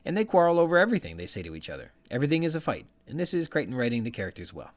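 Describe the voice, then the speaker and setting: A man's voice: mid-range voice, big pitch swings, very fast. A man hosting a podcast, delivering scripted literary commentary with an emphatic delivery. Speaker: podcast host.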